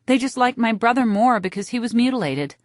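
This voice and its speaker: A woman's voice: on the high side, expressive, fairly quick, dry recording, studio quality, voice pushed up, close to an everyday voice. A woman voicing a bitter, attention-hungry younger sister who complains in a stagy, overwrought way, playing to a crowd. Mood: confessional, resentful.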